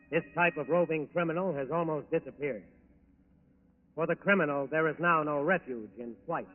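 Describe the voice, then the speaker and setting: American male narrator, fairly high voice, 1940s crime-show delivery; reverberant, narrow-band vintage recording.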